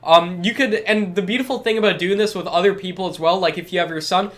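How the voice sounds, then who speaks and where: A man's voice: high-pitched, quick pace, fairly bright tone. A young man with a stuffed-up head cold, hosting a live webinar and answering chat questions in an upbeat, reassuring way. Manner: upbeat and reassuring, reads chat aloud then replies.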